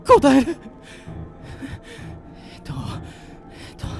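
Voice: takes it slowly, mellow, faint music behind, close to an everyday voice. An actor voicing a timid, panicky young male game contestant who stammers and pleads in a radio drama. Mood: panicked.

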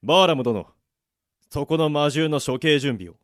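A man playing a formal, measured, authoritative prison warden in a radio drama. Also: on the high side, lively intonation, no echo, close to an everyday voice. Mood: cool, stern. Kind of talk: amateur radio drama acting.

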